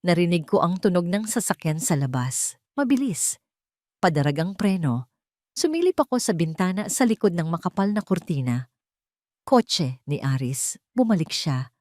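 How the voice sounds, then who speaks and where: Low-voiced woman narrating a dramatic story. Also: big pitch swings, moderate pace, darkish tone, no room sound, clean studio sound. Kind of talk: dramatic first-person audio drama narration in a scripted story.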